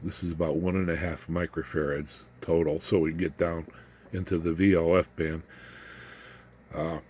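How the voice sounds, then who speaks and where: Older male hobbyist, low-pitched and unhurried, folksy delivery with dry asides, informal bench walkthrough. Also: a little mellow, a little hiss or hum. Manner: folksy, halting, wry asides. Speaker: electronics hobbyist presenter. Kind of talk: casual unscripted build walkthrough.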